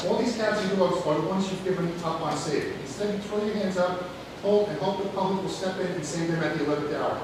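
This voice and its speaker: A man giving a public comment at a board meeting, reading a prepared critical statement in a loud, firm voice. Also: fairly high pitch. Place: large meeting hall. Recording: echoey hall from far off, noise.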